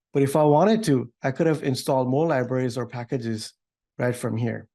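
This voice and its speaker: A man giving an informal, upbeat software demo walkthrough as a developer advocate. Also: medium pitch. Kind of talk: tech demo walkthrough, semi-scripted.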